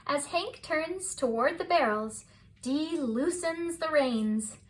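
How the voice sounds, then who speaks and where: Slow, high-voiced woman reading a children's story. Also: animated. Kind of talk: scripted bedtime story narration for children.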